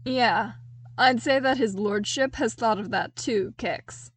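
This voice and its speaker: A woman's voice: fairly high pitch, slow, husky, no echo. A woman voicing a frank, teasing, loyal male soldier in an audiobook, wry and familiar but deferential. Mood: amused. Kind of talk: audiobook character dialogue.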